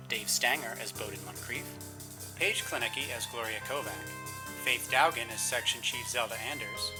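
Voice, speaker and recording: Music plays behind a male announcer with a medium-pitched voice. He reads a scripted announcement in the manner of show credits. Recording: clear music bed behind voice.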